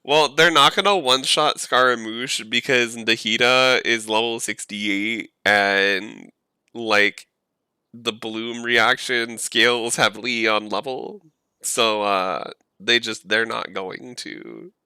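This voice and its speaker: A man's voice: medium pitch, fairly even intonation, slow pace, sharp tone, clear, resonant tone, no room sound. A man chatting casually on a live game stream, informal and slangy.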